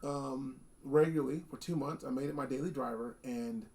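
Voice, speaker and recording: A man hosting a casual, unscripted gadget review, talking in an animated, emphatic way and repeating phrases for effect. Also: mid-range voice, normal speed, slightly rough. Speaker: product reviewer hosting a video.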